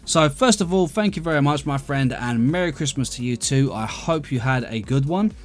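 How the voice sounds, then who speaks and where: A man's voice: medium pitch, expressive, normal speed, soft background music. A man from London with an English accent gives chatty, explanatory casual commentary as the host of a gaming video, answering viewer questions. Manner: chatty, explanatory, addresses listeners as guys.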